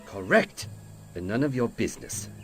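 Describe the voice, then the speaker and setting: An actor playing a suspicious, pompous ninja cult quizmaster in a video game, speaking clipped broken English with a mock Japanese accent. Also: male voice, mid-range voice, big pitch swings, normal speed, faint music behind, natural voice. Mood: stern, grudging. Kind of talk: video game cutscene acting.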